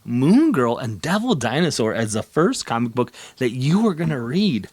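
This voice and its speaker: Male channel host, enthusiastic and casual, with very lively, swooping pitch. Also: normal speed, breathy edge. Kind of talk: casual chat, interviewing a co-host.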